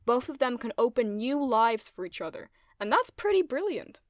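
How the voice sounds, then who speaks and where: A woman's voice: fairly high pitch, very animated, normal speed, dry recording, clean studio sound. A woman reading scripted narration as co-host of a video essay.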